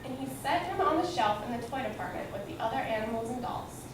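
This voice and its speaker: A fairly high-voiced woman reads a children's book aloud as a storyteller. She sounds away from the mic, with heavy echo in the room. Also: expressive, fast. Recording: echoey, noisy room, distant mic.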